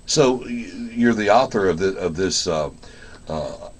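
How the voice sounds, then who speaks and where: A man hosting a radio show in a folksy, halting, casual style. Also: low voice, animated, slightly rough. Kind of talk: casual radio interview and announcing.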